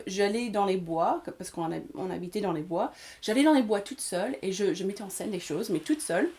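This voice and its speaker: Mellow-voiced woman in her forties. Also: mid-range voice. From Washington State, USA. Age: 40s. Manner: hesitant, many fillers, storytelling. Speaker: guest, English teacher and actress. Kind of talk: casual interview.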